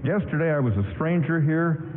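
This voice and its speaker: Actor as a halting, earnest lonely man. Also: narrow, tinny audio, close to an everyday voice. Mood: moved, grateful. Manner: halting, stammering, repeating words. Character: lonely, grateful, earnest man named Zach, unsure of himself. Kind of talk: 1940s radio drama acting. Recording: boomy hall, band-limited AM radio aircheck, noise.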